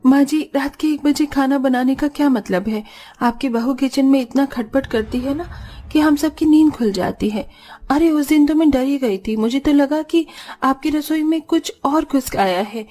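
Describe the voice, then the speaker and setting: A fussy woman next door acted by a female reader complains in a high voice. She is indignant and exaggerates each grievance in moral-tale fashion. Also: moderate pace, warm tone, natural voice.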